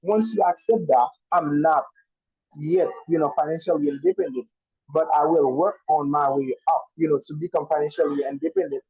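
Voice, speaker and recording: Slightly high husky guy, haitian creole speaker, keeps saying you know, muffled call. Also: moderate pace, dry recording. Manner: hesitant, many "you know" fillers and restarts. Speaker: student in an online speaking class. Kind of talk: casual spoken answer. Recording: muffled online call audio.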